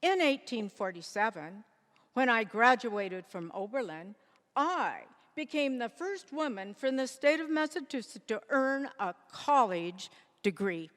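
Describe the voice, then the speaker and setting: A woman performing a dignified, resolute nineteenth-century women's rights pioneer in a scripted stage monologue, with measured, deliberate oratory. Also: fairly high pitch, big pitch swings, a bit bright. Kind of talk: scripted readers theater monologue. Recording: echoey hall with long reverb.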